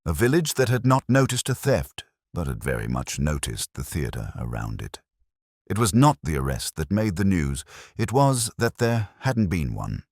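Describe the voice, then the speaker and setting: A man's voice: low voice, big pitch swings, moderate pace, no echo, studio quality. A man narrating a scripted mystery story as its narrator.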